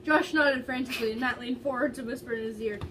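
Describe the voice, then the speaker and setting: Female reader, high-pitched and giggly, dramatic amateur storytelling with stumbles, recorded away from the mic. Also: fast. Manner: giggly, stumbling over words. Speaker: fan fiction reader. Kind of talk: dramatic reading aloud of fan fiction, with casual giggly asides. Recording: distant mic with faint background noise.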